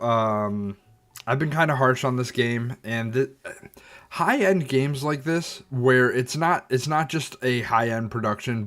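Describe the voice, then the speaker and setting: A man's voice: medium pitch, a bit bright. A man streaming a game and chatting about it, thoughtful and hesitant, with a slightly stuffy, nasal sound. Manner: thoughtful, hesitant, many ums and restarts. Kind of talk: casual gameplay commentary.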